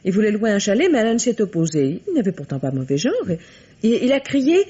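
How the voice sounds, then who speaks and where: A woman's voice: medium pitch, sing-song, brisk, warm tone, slightly rough. An actress playing an evasive, coquettish woman suspect in a 1950s-style French radio drama, speaking hesitantly and coyly. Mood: flustered. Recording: dull, lo-fi vintage radio recording.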